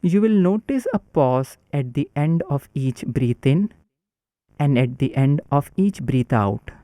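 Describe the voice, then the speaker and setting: A man guiding a breathing meditation in a calm, instructive way. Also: a little high, unhurried, no room sound, studio quality. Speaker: meditation guide.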